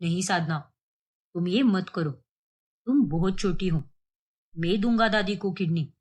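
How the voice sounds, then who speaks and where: A woman's voice: on the low side, measured pace, a little mellow. Lady doing anxious young guy in cartoon drama, earnest, devoted grandson. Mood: protective. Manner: worried, earnest. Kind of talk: cartoon melodrama acting.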